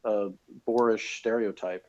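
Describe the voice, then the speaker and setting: A man in a casual review discussion, arguing his point in a halting, self-correcting way. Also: on the low side, steady tone, darkish tone. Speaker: podcast co-host reviewing TV episodes. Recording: dull lo-fi audio.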